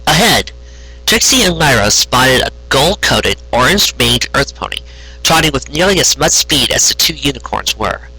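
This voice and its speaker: A man's voice: mid-range voice, very animated, moderate pace, pushed and bright, husky, low-quality recording. A man narrating a scripted fan fiction story, occasionally stumbling over his words. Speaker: amateur story reader.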